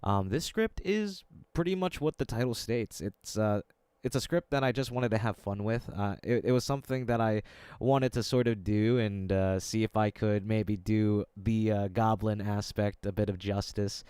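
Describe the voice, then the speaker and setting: A man's voice: fairly low pitch, a little mellow, smooth, no room sound. A man speaking informally and appreciatively to his subscribers as the host of a voice-acting channel. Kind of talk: casual intro addressed to subscribers.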